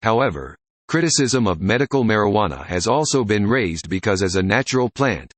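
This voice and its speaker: A man's voice: on the low side, expressive, a little fast, no echo. A man reading a scripted practice passage aloud as a narrator.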